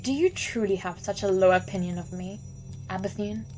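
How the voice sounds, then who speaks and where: A woman's voice: mid-range voice, normal speed, darkish tone, faint music behind, close to an everyday voice, low-quality recording. An actress voicing Aerith, a proud and vengeful woman judge who speaks haughtily. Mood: haughty.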